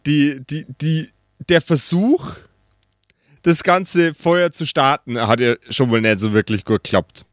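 A man's voice: a little high, unhurried, no room sound, clean studio sound. A man acting as game master, casually improvising a tabletop game and often searching for his words.

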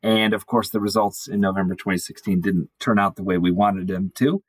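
Male podcast guest, fairly low voice, casual personal storytelling, close dry sound. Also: steady tone, a little fast, husky, dry recording.

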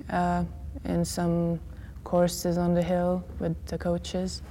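A teenage skier from Latvia, speaking slowly and hesitantly in a soft interview. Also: female voice, fairly low pitch, a little hiss or hum. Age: teens. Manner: slow, hesitant, soft-spoken. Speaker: athlete interviewee.

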